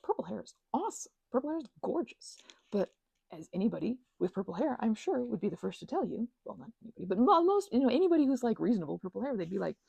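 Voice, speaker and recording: Woman, thirties, ASMR rambler. Mellow, breathy delivery, playful and emphatic, recorded very dry. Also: on the high side, sing-song, quick pace, no room sound. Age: 30s. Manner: emphatic, playful slangy rambling with quoted asides. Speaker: ASMR channel host. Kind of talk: casual rambling ASMR monologue.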